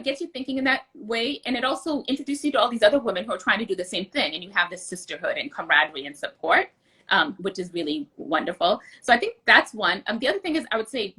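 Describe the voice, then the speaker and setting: A woman guest answers interview questions at a quick clip. Her voice is bright with a husky edge, and she explains things earnestly and conversationally. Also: fairly high pitch. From born outside the US. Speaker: interview guest, political candidate.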